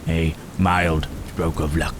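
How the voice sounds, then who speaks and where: A brooding, protective monster, acted by a man in an ASMR roleplay, with a very low voice and slow, halting delivery. His phrasing is formal and old-fashioned, thoughtful and gentle. Rain and thunder sound clearly behind him. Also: level tone, noisy background. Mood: brooding, puzzled.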